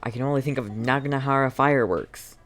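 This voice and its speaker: A game streamer giving casual live commentary, halting and thinking aloud. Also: medium pitch, a bit bright, faint music behind.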